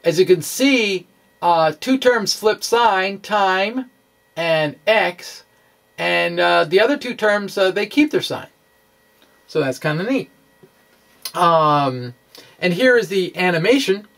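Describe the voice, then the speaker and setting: Slow, halting man explaining physics. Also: high-pitched, expressive, creaky, fairly bright tone. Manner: halting, self-correcting. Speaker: science video blogger. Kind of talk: informal physics explainer narrating animations.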